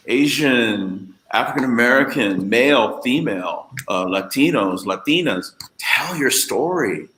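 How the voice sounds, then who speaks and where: Deep-voiced earnest man on a panel. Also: fairly bright tone, echoey room, rough recording. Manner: earnest, emphatic, with frequent fillers. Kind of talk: panel discussion.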